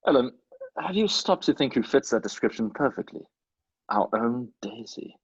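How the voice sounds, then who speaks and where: A man playing a gentle, wistful husband in a radio mystery, earnest and soothing. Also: mid-range voice, lively intonation, moderate pace, breathy edge, no echo, lo-fi sound. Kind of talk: radio play acting.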